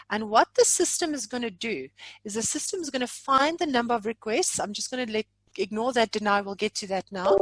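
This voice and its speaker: A South African woman giving an informal software demonstration, chatty and jokey, poking fun at herself. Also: on the high side, dry recording, poor audio. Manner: chatty, jokey, self-deprecating. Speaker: software trainer.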